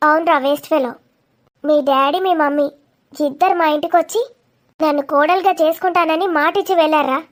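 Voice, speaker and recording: A woman's voice: high-pitched, steady tone, brisk, close to an everyday voice. A woman voicing a feisty, sarcastic young woman in a confrontational cartoon comedy. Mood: scornful. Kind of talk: dramatic cartoon comedy dialogue.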